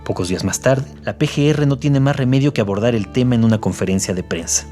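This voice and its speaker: A man's voice: medium pitch, fast talker, mellow, soft background music. A man acting as narrator of a scripted true-crime documentary.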